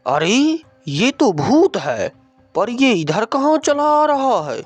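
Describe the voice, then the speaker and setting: A man's voice: extremely high pitch, big pitch swings, slow pace, no room sound, faint music behind, full character voice. A man voicing a worried male village leader in a storybook horror cartoon, speaking with alarmed exclamations. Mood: anxious. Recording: dry, dull lo-fi sound.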